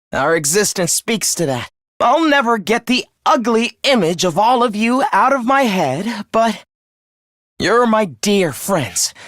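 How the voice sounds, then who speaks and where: A man's voice: high voice, a bit bright, dry recording, clean studio sound. A man playing a young video game fighter who is eerily polite and fervent, speaking in theatrical, formal phrases of morbid devotion. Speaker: voice actor. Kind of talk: dramatic video game character acting.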